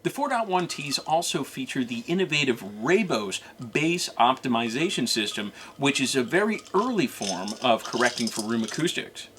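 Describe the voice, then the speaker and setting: A man's voice: mid-range voice, very animated, normal speed, hardly any pauses, fairly bright tone, slight background noise. A man narrating a scripted, informational product review as co-host.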